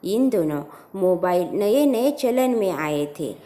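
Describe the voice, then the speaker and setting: A woman's voice: medium pitch, animated, slow pace, warm tone. A woman narrating a scripted first-person family story as a storyteller.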